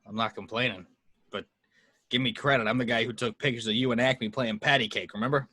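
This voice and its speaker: A man playing a cynical, sarcastic private detective in an amateur table read, gruffly dismissive in his delivery. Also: mid-range voice, fairly even intonation, a little fast, sharp tone, no echo.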